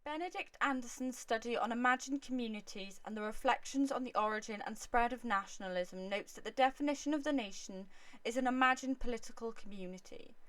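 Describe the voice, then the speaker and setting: A woman reads documentary narration in a formal, academic tone. Her voice sits a little high and sounds dry and close, with no room around it. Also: fairly quick, fairly bright tone, no echo.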